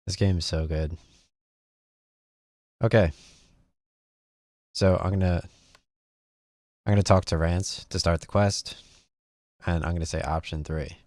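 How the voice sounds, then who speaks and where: Very low-voiced man casually narrating gameplay. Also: steady tone, measured pace, pauses often, darkish tone, no echo, clean studio sound. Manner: rambling, thinking aloud. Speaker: gaming video host.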